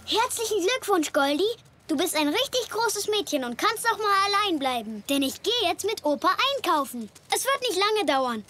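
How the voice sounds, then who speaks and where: A performer voicing an earnest, caring little zebra boy in a German-dubbed children's cartoon, speaking in an expressive, childlike way. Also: very high-pitched, normal speed, exaggerated voice. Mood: cheerful, eager. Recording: loud music behind.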